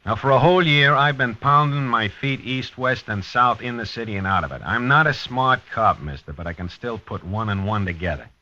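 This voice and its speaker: An American actor playing a dogged, weary police lieutenant in a 1950s radio drama, with a clipped, hard-boiled delivery. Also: male voice, mid-range voice, lively intonation, a little fast, few pauses, sharp tone. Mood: blunt, pressing. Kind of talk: 1950s radio drama acting and first-person narration. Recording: dull vintage 1950s radio recording.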